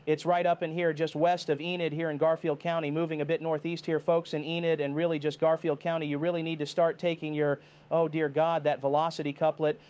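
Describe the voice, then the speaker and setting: A man's voice: fairly high pitch, flat delivery, a little fast, a little mellow, smooth, highs cut off, lightly acted. A man playing a TV meteorologist in an analog horror drama, giving a live severe weather warning in a grave, insistent manner, addressing viewers directly. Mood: alarmed. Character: urgent male TV meteorologist warning of deadly tornado. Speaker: TV weather forecaster.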